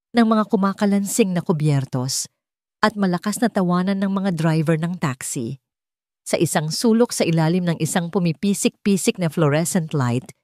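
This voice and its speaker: Female storyteller, Filipino accent, slightly low register, very dry recording, dramatic scripted narration. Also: a little mellow, no room sound.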